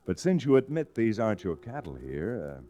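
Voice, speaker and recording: Frontier lawyer hero: lowish voice, swinging intonation, brisk, wry, confident, vintage radio Western style. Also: male voice, very animated, mellow, muffled recording. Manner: brisk, emphatic, sardonic. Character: wry, confident young cowboy lawyer, quick to fight. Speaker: lead actor and first-person narrator. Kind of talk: old-time radio Western acting.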